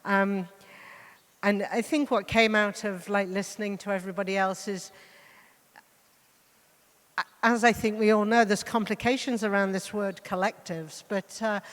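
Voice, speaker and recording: A woman giving an informal, conversational presentation as a panel speaker, wandering off into asides. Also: medium pitch. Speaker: panel speaker, art biennale co-director. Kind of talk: informal spoken presentation to an audience. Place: large reverberant hall, on a stage. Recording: echoey hall with long reverb.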